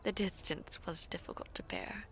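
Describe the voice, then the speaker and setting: A woman playing a devoted, teasing princess in a romantic audio roleplay, speaking formally and coyly with breathy, whispery softness. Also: fairly low pitch, big pitch swings. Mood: soft, proud. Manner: slow, formal, coy, often dropping to breathy whispers.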